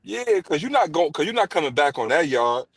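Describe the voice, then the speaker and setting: A man's voice: fairly high pitch, very animated, brisk, slightly rough, no echo, studio quality. A man guest in a casual podcast chat, speaking bluntly with streetwise slang.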